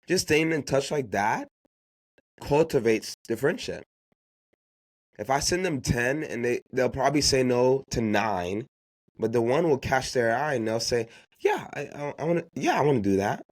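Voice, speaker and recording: A man's voice: mid-range voice, very animated, measured pace, long pauses. A man who grew up speaking Haitian Creole gives unscripted motivational business advice as a podcast host, emphatically repeating key phrases and posing rhetorical questions.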